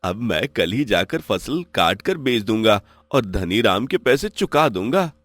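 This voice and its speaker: A man's voice: lowish voice, very animated, unhurried, a bit bright, no room sound, full character voice. Troubled, honest poor farmer played by a man. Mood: hopeful. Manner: pleading, lamenting. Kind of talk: moral story dialogue.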